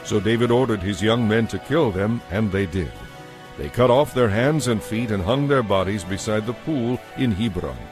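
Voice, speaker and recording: A man narrating scripture from a script in a measured, solemn manner. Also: lowish voice, lively intonation. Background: soft music.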